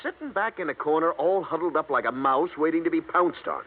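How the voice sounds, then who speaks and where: A man's voice: a little high, a little fast, phone-quality sound, close to an everyday voice. A man with an American accent playing a wisecracking, gossipy insurance man in an old radio drama, telling things in colorful slang. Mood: baffled, colorful. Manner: jokey, colorful, slangy storytelling. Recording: thin, band-limited vintage radio recording.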